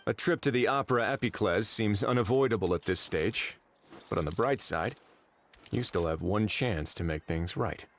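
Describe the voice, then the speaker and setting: A man voicing a shrewd, easygoing, world-weary official in a video game, with dry, measured authority. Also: lowish voice, a little hiss or hum, lightly acted. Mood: firm, dry. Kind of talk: animated video game voice acting.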